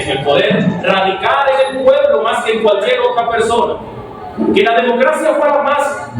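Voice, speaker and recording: A young Dominican man giving an academic speech as a philosophy student, with many rhetorical questions put to the audience. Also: high-pitched. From Dominican Republic. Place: event hall before an audience. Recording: very echoey, distant mic with noise.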